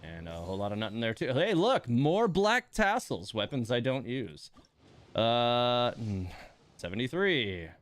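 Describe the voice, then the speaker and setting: A man's voice: medium pitch, big pitch swings, a little slow, no echo. A man hosting a game livestream, chatting casually with wry, mildly grumbling informality. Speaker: game streamer, host. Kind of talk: casual livestream commentary while playing.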